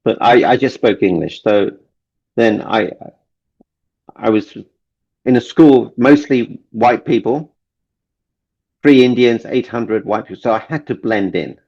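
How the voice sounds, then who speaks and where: A man's voice: medium pitch, a little slow, gravelly, pauses often, darkish tone. A man from Kenya, a relationship coach guest, speaking earnestly and repeating key phrases for emphasis. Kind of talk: motivational interview talk. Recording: dull lo-fi sound.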